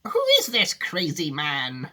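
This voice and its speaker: A man voicing a cranky, dramatic old grandmother in a storybook, complaining in indignant, drawn-out exclamations. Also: fairly high pitch, very animated, bright, forceful tone, heavily acted. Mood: alarmed.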